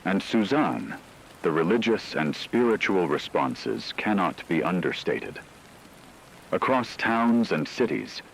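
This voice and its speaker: Man playing a deep, grave radio anchor. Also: fairly bright tone, exaggerated voice, rough recording. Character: grave male radio news co-anchor in a fog apocalypse. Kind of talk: scripted broadcast commentary. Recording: faint rain noise behind voice.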